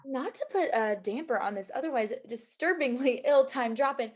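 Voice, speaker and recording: A woman's voice: sits high, animated, moderate pace. A woman acting a blunt, teasing and sardonic assistant with a flirty streak in a comedy table read. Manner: wry, teasing. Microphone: video call.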